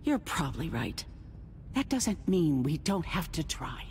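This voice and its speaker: A woman's voice: low voice, big pitch swings, darkish tone, a little hiss or hum. A poised, expressively lilting woman leader. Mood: sombre, earnest. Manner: genteel, formal, measured politeness. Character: poised, courteous female settlement leader, smoothly persuasive. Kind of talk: naturalistic dramatic game acting. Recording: music under the voice.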